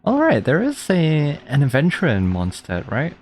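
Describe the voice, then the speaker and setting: A man's voice: on the high side, huge pitch swings, moderate pace. Chatty man streaming, faint noise behind. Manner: reactive, chatty. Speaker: streamer playing a story game. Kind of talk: casual live game commentary.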